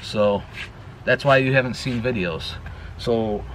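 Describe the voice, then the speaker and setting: A man's voice: medium pitch, slow, a little hiss or hum, poor audio. A man giving a casual, rambling channel update outdoors, often correcting himself mid-sentence. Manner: rambling, self-correcting, chatty. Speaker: YouTube vlogger. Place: outdoors near a woodpile. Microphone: action camera.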